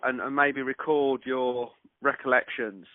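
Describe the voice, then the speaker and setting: Male, average pitch, halting and repetitive at phrase starts, talking informally over a narrow phone connection. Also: steady tone, dry recording. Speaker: interviewer, listener. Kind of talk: casual phone conversation. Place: on a phone call. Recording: narrowband telephone call, dry.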